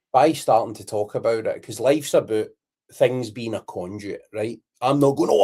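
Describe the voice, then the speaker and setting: A man's voice: medium pitch, a little mellow, husky. A Scottish man co-hosting a podcast, rambling candidly in casual chat, swearing freely and dropping Scots words. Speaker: podcast co-host.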